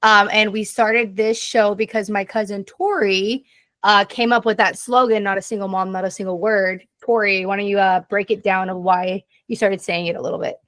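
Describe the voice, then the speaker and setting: A woman's voice: medium pitch, steady tone, moderate pace, a bit bright, dry recording. A woman in her twenties co-hosting a casual podcast, rambling, laughing often and talking over the others. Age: 20s. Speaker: podcast co-host. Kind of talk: casual chat.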